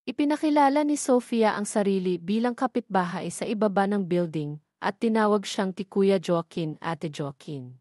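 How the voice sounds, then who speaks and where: A woman reading a romance story aloud as narrator, in a steady, even storytelling voice. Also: mid-range voice, moderate pace, no echo, clean studio sound.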